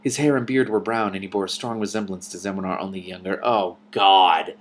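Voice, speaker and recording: A man reading a novel aloud and adding his own commentary, with an expressive, animated delivery. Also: low-pitched, quick pace. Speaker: reader and commentator.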